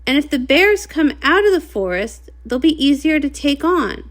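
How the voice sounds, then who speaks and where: A woman voicing a small, clumsy, eager young male unicorn in a storybook, excitable and earnest. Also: high voice, normal speed, voice pushed up. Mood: earnest, insistent.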